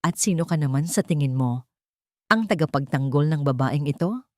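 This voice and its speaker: A woman's voice: low-pitched, expressive, normal speed, darkish tone, no echo. Cruel, wealthy Filipino mother-in-law for audio story, acted by a woman narrator: haughty, sneering, menacing, dry sound. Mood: mocking.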